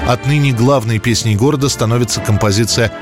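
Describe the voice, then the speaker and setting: A man's voice: medium pitch, fast, music in the background. A man hosting a programme, reading a scripted narration about history in a studio. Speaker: radio programme host.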